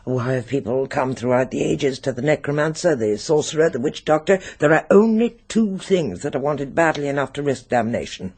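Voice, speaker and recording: A British actor playing a sinister, secretive occult expert in a radio drama, speaking deliberately and insinuatingly. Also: male voice, mid-range voice, lively intonation, darkish tone, husky. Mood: musing.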